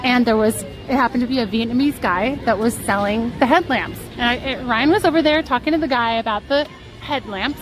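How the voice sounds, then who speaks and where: A woman's voice: fairly high pitch, brisk, rough recording. A woman live-streaming from a theme park, telling a story in a chatty, giggly, self-deprecating way. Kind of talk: casual storytelling to a live chat. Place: outdoors at a theme park on a rainy day. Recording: park music and crowd noise behind.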